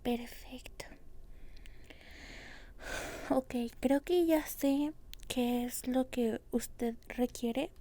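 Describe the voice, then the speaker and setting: A woman doing a soft-spoken, often whispered ASMR roleplay as a dentist, with a breathy, gentle and reassuring way of talking. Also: high-pitched, extremely slow, pauses often. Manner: gentle, reassuring, using many diminutives.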